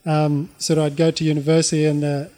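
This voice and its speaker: A middle-aged man with an Australian accent tells his life story informally, in a halting, reflective way. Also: on the high side, monotone, dark tone.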